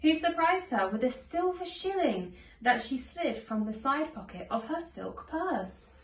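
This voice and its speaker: The voice comes from a little way off in an echoey room. It is a woman with a fairly high pitch, reading a children's story aloud. Her delivery is deliberate and measured, shaped for read-along listening. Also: sing-song. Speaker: storybook narrator. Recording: echoey room, distant from microphone.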